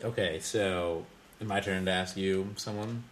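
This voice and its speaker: A man's voice: sits low, steady tone, a little slow, slight background noise. A young man co-hosting a casual comedy podcast, wry and joking, often stumbling and restarting his sentences. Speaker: podcast co-host.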